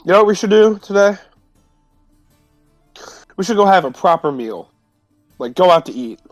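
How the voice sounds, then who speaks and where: A man's voice: high-pitched, big pitch swings, moderate pace, no room sound, studio quality, soft background music. A man playing a tabletop roleplaying game, casually describing what his character does in informal, sweary talk.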